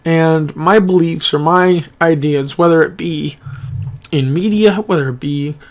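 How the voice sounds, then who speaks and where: A young man speaks in a slightly higher voice than most men. He rambles earnestly and reflectively, with pauses and restarts. The mic is close, as if he is talking straight into his camera. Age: young adult. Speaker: gaming YouTuber. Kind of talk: rambling personal vlog monologue. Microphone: camera-mounted microphone, close.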